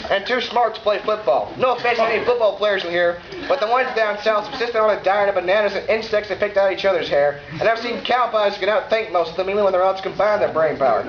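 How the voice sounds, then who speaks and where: High-voiced young Texan man in a hall. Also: fast, a crowd in the background. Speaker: club speaker. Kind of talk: comedic prepared speech to an audience. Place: large hall with an audience. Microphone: camera from the room. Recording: roomy, muffled, noisy hall camera recording.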